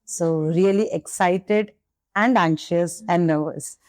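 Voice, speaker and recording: A woman's voice: lowish voice, slow, darkish tone, dry recording, clean studio sound. A young woman with an Indian English accent, a nursing candidate answering podcast questions candidly and slipping into Hindi now and then.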